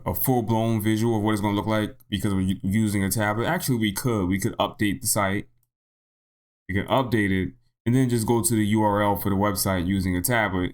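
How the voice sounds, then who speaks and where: Male tutorial host, lowish and a little hoarse, informal walkthrough delivery that thinks out loud. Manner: thinks aloud, repeats himself. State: slightly hoarse. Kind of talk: casual unscripted software tutorial narration.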